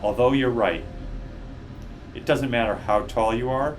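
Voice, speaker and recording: A man's voice: medium pitch, measured pace, slight background noise, close to an everyday voice. A man voicing a proud, cranky female crow in a storybook, blunt, teasing and full of wisecracks. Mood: sassy, teasing. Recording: music behind the voice.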